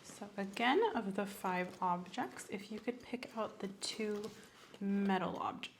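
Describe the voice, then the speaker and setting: A soft-spoken woman playing a medical examiner in an ASMR roleplay, gently giving instructions and encouragement. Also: medium pitch, measured pace, slight background noise.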